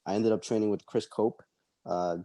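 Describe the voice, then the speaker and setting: A young man from El Salvador, a fighter being interviewed, answering casually and haltingly with many ums and uhs. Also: on the low side, steady tone, warm tone, dry recording. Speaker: MMA fighter guest.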